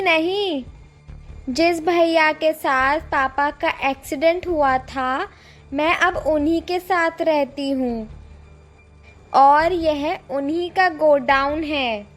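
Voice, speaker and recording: A woman plays a polite little girl in a horror cartoon. Her voice is high and speaks very slowly and earnestly, with soft music behind it. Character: polite, helpful young girl, daughter of a ghost. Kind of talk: animated Hindi horror story dialogue.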